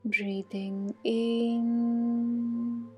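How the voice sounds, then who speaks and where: A woman leading a guided meditation, calmly and soothingly, giving unhurried instructions with long pauses. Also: a little high, husky. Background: soft meditation music.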